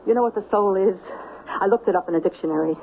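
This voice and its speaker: A woman's voice: mid-range voice, quick pace, close to an everyday voice. Female actor as no-nonsense American working-class dame, insistent and warning, vintage radio drama with faint hiss and phone-like tone. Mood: urgent, warning. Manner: emphatic, slangy, warning. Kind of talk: old-time radio drama acting. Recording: tinny, hissy old radio recording.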